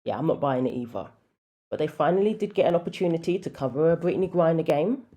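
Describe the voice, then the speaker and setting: A woman with a deep, low-pitched voice talks through her opinions in a casual commentary vlog. Her tone is mellow and dark, and she drops wry asides between points. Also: lively intonation, fast.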